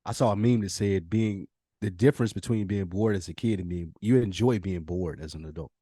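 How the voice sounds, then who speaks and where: A man's voice: sits low, fairly quick, dark tone, breathy edge, dry recording, studio quality. A man co-hosting a podcast in casual chat, speaking candidly and informally with plenty of slang. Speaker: podcast co-host.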